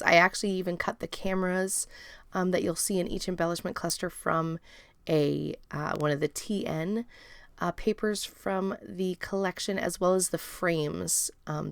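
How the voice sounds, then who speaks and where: A woman with a raspy, strained voice narrating her scrapbooking process in a relaxed, chatty voiceover full of ums and uhs. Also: lowish voice, no echo. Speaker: scrapbooking video host.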